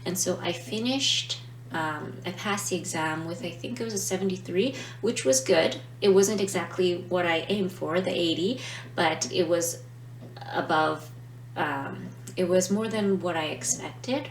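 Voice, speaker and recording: A woman who teaches Italian, not her first language, chatting reflectively in a casual vlog and often checking in with "right?". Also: lowish voice. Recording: roomy, distant mic with faint hum.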